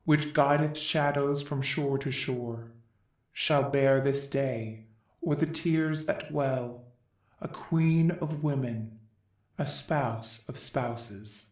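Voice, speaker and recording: Man as slow, solemn Greek chorus member, echoey. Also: medium pitch, lightly acted. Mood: reverent, mournful. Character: reverent, solemn male chorus elder of Greek tragedy. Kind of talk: classical verse drama reading. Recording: echoey room.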